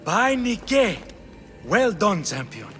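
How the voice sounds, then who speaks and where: An actor playing a sardonic Spartan council official in a video game, pompous and wry. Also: male voice, high-pitched, sing-song, takes it slowly, bright, forceful tone, faint music behind, full character voice. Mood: impressed. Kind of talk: video game cutscene acting.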